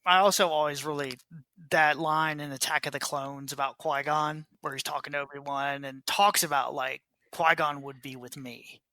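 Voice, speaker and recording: Bright, higher-voiced man chatting on a podcast. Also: steady tone, normal speed, dry recording. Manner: informal, peppered with "like". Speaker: podcast co-host. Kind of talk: casual chat.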